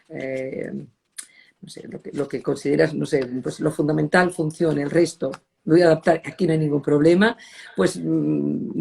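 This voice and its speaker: Halting, word-searching Spanish woman. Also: fairly low pitch, creaky. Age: middle-aged. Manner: halting, searching for words, mixing in Italian. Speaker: designer interviewee. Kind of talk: reflective conversational interview.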